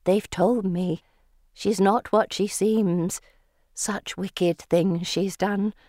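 A woman voicing an ominous, paranoid woman giving slow, insinuating warnings in an audiobook. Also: medium pitch, steady tone, mellow, no echo, studio quality.

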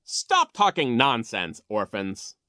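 A woman's voice: lowish voice, huge pitch swings, a little slow, fairly bright tone, no room sound, clean studio sound, voice pushed up, exaggerated voice. A woman playing a pompous, spiteful male vice principal in a storybook, mocking and overbearing in a theatrical way. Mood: mocking, scornful.

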